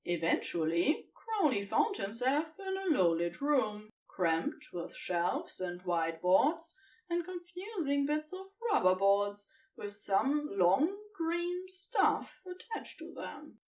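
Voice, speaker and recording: A woman reading scripted fan fiction narration in an expressive storytelling manner. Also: fairly high pitch, slow, distant mic.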